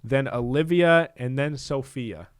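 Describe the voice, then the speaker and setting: A young man co-hosting a laid-back podcast, chatting loosely and laughing easily between items on a list. His voice sits at an ordinary middle pitch. The sound is dry and close to the mic. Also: measured pace, clear, resonant tone, no room sound. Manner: relaxed, laughs often, reads out lists with asides. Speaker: podcast co-host. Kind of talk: casual chat.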